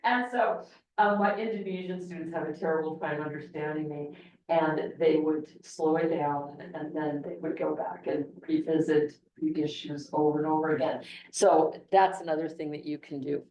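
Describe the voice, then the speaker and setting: A woman teacher talks to her class in a loose, conversational way, circling back on her sentences. Her voice sits low and mellow. She is picked up from across an echoey classroom. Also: fairly even intonation, moderate pace, far from the mic, lo-fi sound. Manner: conversational, with frequent restarts and "okay". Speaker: engineering instructor. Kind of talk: classroom lecture.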